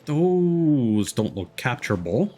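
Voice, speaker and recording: Guy streaming a game, chatty n reactive, really lively pitch swings, game music behind him. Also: slow pace. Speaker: game livestreamer, host. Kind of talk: casual live game commentary.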